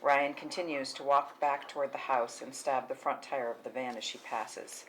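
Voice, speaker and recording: A woman's voice: very deep voice, fairly even intonation, normal speed, a bit bright, a little hiss or hum, poor audio. A woman narrating a film script's stage directions at a table read.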